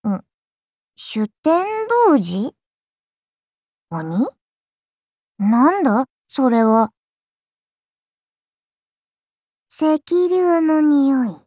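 Childlike serpent-god oni, anime game character, haughty and teasing, archaic lordly speech, unhurried, close dry recording. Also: female voice, sits high, big pitch swings, dark tone, dry recording, heavily acted. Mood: curious, puzzled.

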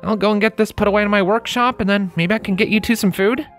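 A woman voicing a friendly, excitable young male pony tinkerer in a storybook reading, with casual, earnest speech. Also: medium pitch, quick pace, soft background music, pitched above the performer's own voice, close to an everyday voice. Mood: friendly, upbeat. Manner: casual, earnest, hesitant with filler words.

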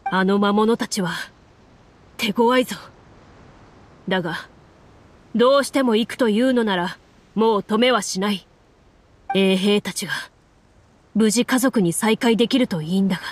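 A woman's voice: mid-range voice, measured pace, long pauses, close to an everyday voice. A woman voicing a weary, wounded guard captain, terse, grave and resigned, in a fantasy game. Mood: grave resignation. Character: weary wounded male guard captain, gruff but caring. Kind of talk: tabletop role-play in a video game.

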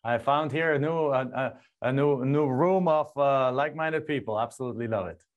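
A man's voice: mid-range voice, a little fast, lo-fi sound. A middle-aged man from Germany with a German accent, a guest telling his story animatedly in a casual interview, recorded on a lapel mic in a home studio. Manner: animated, gestures a lot, frequent restarts. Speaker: guest, land investor. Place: home studio with screen and map backdrop.

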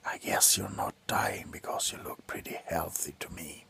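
A middle-aged man playing a grumpy, drunk doctor in an ASMR roleplay, grumbling sardonically close to the mic between soft talk and whispers. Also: rumbling low, flat delivery, moderate pace, vocal fry, slightly rough, exaggerated voice. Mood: grumbling, sardonic. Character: grumpy, drunk male doctor on a Christmas house call. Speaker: ASMR performer. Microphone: very close to the face.

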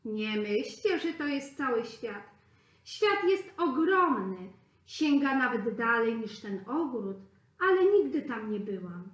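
A woman's voice: on the high side, sing-song, slow pace. A woman voicing a caring mother duck who talks seriously to her young. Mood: earnest. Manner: serious, instructive tone. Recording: echoey room.

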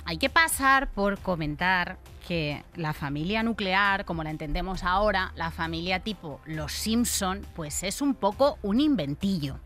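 A woman's voice: mid-range voice, a bit bright. Wry, plain-spoken Spanish woman co-host. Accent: Spain. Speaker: podcast co-host. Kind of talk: conversational explanatory commentary. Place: indoor radio-style podcast studio. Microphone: studio microphone on desk stand.

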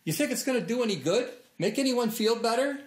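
An actor in his sixties playing a gruff, wounded older American man, blunt and sardonic, in naturalistic film dialogue. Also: very high voice, animated, a little fast. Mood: bitter, hurt. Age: 60s.

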